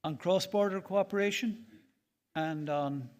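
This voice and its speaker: A man's voice: high-pitched, unhurried. An Irish man moderating a conference panel on stage, jovial and teasing, often repeating words as he thinks.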